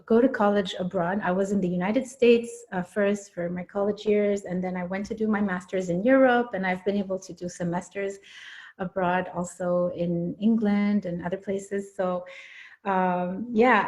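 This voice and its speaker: A woman's voice: mid-range voice, steady tone, moderate pace, a little mellow. A woman from Morocco working as a parent coach, speaking thoughtfully in a casual interview with frequent fillers and repeated words.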